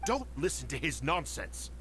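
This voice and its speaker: A man's voice: mid-range voice, sing-song, moderate pace, fairly bright tone, slight background noise. A man voicing a nervous, scheming older male court official in video game dialogue, flustered, stammering and fawning, with faint music behind. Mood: evasive.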